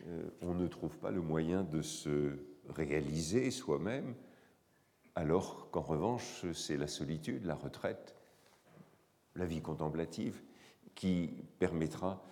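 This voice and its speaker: A man with a cold lecturing in a hall, his voice hoarse, his speech halting with frequent pauses and fillers. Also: a bass voice, warm tone. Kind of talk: academic lecture.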